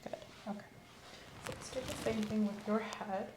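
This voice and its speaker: A woman hosting an ASMR physical exam roleplay, giving calm, step-by-step instructions in a soft voice. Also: mid-range voice, moderate pace. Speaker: ASMR host performing an exam. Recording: roomy with faint hiss.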